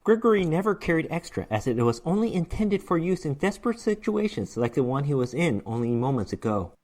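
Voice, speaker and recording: A man's voice: on the high side, sing-song, brisk, a little mellow. A man narrating a horror short story as a dramatic reading, with theatrical, emphatic delivery.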